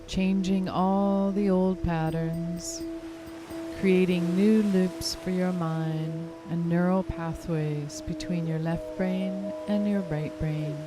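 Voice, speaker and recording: Woman, meditation guide, slightly low pitch, very slow pace, soothing gentle instruction, hypnotic induction style. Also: steady tone. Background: music clearly behind the voice.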